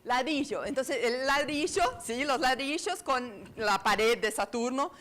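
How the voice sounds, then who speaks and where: Halting woman lecturing with an Argentine accent. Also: high-pitched, animated, a bit bright. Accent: Argentine Spanish. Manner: searching, halting, many fillers and restarts; asks the room for agreement with tag questions. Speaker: conference speaker on astrology. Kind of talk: lecture to a live audience.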